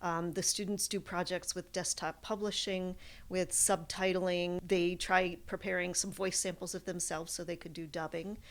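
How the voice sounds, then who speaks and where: A woman explaining her field in an interview, in the manner of a college professor, with animated examples. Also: fairly low pitch, moderate pace.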